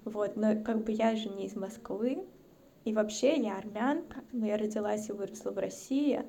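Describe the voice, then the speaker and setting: Gravelly, dark-toned woman. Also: mid-range voice, moderate pace, a little hiss or hum. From North Caucasus, Russia. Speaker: podcast guest. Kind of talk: casual chat.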